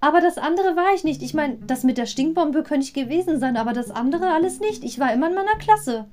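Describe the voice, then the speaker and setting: A woman's voice: sits high, animated, quick pace, darkish tone, faint music behind, voice pushed up. A woman voicing a cheeky, insistent young schoolgirl witch in a retold children's audio story. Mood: pert, protesting.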